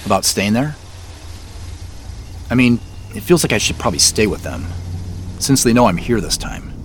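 An actor playing a curious young executive visiting a farm, talking hesitantly with nervous laughs. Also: male voice, fairly low pitch, sing-song, moderate pace, slight background noise. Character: curious, unsettled young city executive man. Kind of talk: audio drama acting. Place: outdoors on a farm at night.

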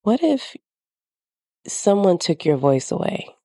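A woman's voice: lowish voice, sing-song, slow, mellow, dry recording, studio quality. A woman podcast host with a slightly congested, nasal voice, talking candidly in a reflective personal monologue.

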